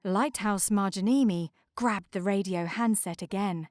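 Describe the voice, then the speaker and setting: A woman's voice: mid-range voice, unhurried, darkish tone, no room sound, clean studio sound. A woman narrating a scripted children's storybook aloud. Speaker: storybook reader.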